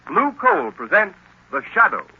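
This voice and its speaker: A man's voice: sits high, wildly swooping pitch, a little slow, husky, exaggerated voice. A man with an American accent works as a dramatic, emphatic announcer in 1930s radio style. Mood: announcing. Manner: emphatic, theatrical delivery. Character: dramatic male announcer of a mystery radio serial. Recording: scratchy, dull old radio recording.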